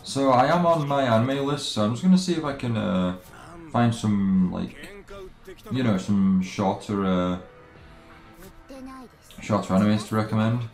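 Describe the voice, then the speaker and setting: Man, game streamer, lowish voice, halting casual chat, roomy echo, game music behind. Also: darkish tone. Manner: halting, rambling, often trailing off and restarting. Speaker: let's play streamer. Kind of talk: casual live game commentary and chat with viewers. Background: video game music.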